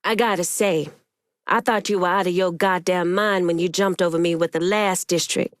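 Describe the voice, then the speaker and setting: A woman playing a commanding, wary female gang leader in a dramatic video game, speaking deliberately and sternly with folksy turns of phrase. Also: lowish voice, fairly even intonation, no echo, studio quality, natural voice. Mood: assertive.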